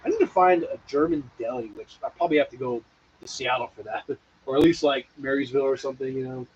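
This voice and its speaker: A man's voice: medium pitch, quick pace, a little mellow, slight background noise. A man co-hosting a homebrewing stream, chatting casually in a laid-back, rambling way. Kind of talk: casual chat. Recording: lo-fi, dull livestream audio.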